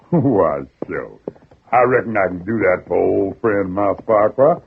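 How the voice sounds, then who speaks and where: A man's voice: low-pitched, expressive, a little slow, gravelly, slight background noise, full character voice. A man playing a folksy, slyly affable backwoods uncle with a drawling Southern accent in an old-time radio drama. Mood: obliging. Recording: narrow, muffled old radio recording.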